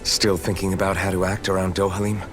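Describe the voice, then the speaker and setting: A man playing a steady, supportive young swordsman in a video game, plain-spoken and reassuring. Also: deep voice, quick pace, natural voice. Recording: music in background. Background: background music.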